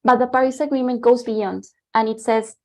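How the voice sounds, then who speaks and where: A woman from Colombia, Spanish her first language, lectures in accented English over a video call. She talks in a brisk, explanatory way with small ums along the way. Her voice sits somewhat high. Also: fairly even intonation, darkish tone. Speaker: guest lecturer, former climate negotiator. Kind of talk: online lecture with shared slides.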